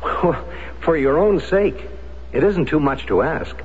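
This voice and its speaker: An actor playing a concerned, reasonable American consular official in a radio drama, with a polite, measured manner. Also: male voice, fairly high pitch, expressive, slightly rough. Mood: courteous concern. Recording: dull old radio recording, faint noise.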